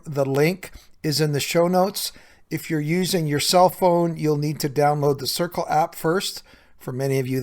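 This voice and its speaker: A man's voice: fairly high pitch, darkish tone. A man hosting a podcast solo, talking conversationally and earnestly, explaining things directly to the listener as a coach would. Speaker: podcast host and recovery coach.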